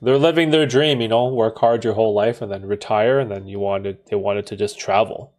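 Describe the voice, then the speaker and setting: A man's voice: fairly low pitch, steady tone, brisk, darkish tone, faint music behind. A man in his thirties who grew up in Bermuda and Canada, explaining his views at length on a casual stream and often checking in with "right?". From Bermuda, raised in Canada. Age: 30s. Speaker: streamer hosting a Q&A. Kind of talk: casual chat. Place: home in Toronto.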